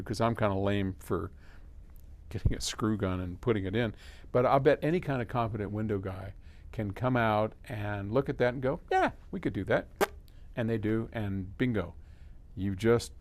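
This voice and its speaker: A man's voice: fairly low pitch, darkish tone. A man hosting a casual explainer, answering a question in a folksy, conversational way as he thinks aloud. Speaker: host answering a listener's audio question. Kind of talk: casual explainer, reading a letter then advising.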